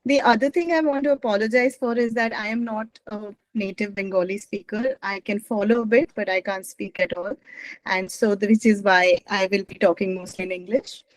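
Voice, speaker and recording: A woman's voice: medium pitch, steady tone, a little fast, no room sound, low-quality recording. Gujarati-speaking woman presenting over video call. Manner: rambling, self-correcting, apologetic. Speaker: guest speaker, academic. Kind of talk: online presentation.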